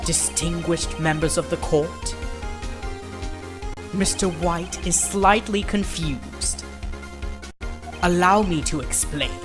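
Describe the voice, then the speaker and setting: A man voicing a composed, condescending prosecutor in a courtroom game fandub, speaking formally and deliberately. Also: a little high, over music. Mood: smug, formal. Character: composed, haughty male prosecutor, condescending and exacting.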